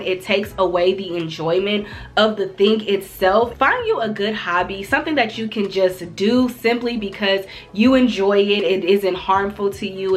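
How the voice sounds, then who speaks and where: A woman talking to camera in a relaxed self-development vlog, her voice a bit nasal and congested from a cold, earnest and chatty with casual slang. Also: mid-range voice. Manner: earnest, repeats phrases for emphasis. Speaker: vlogger.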